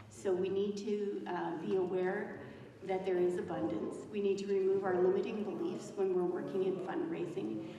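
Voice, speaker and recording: A woman's voice: medium pitch, warm tone, dull audio. A woman fundraising consultant giving an earnest conference presentation, steadily repeating her key points. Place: conference hall. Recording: echoey hall from far off, audience noise.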